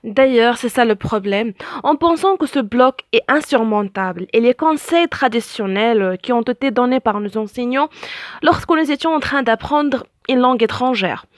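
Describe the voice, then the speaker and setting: A woman's voice: fairly high pitch, lively intonation, normal speed, no echo, clean studio sound. A woman whose first language is Arabic, giving a spoken French lesson and peppering her speech with "voilà" and other fillers while she searches for words. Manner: many fillers, repeats "voilà" often; searches for words, self-correcting. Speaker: French teacher.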